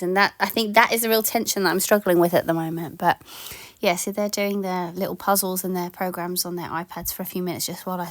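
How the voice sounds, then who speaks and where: Chatty woman with a slightly croaky voice. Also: mid-range voice, dry recording. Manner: chatty, rambling, many ums and yeahs. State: slightly croaky from a sore throat. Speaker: home tidying vlogger. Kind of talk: casual voice-over chat.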